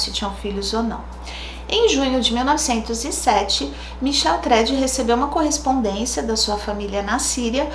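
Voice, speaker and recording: A woman's voice: on the high side, roomy sound, slight background noise. A woman with a Brazilian Portuguese accent hosting a true crime channel, telling the case in a casual, chatty way with spoken asides. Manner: chatty, thinks aloud with asides.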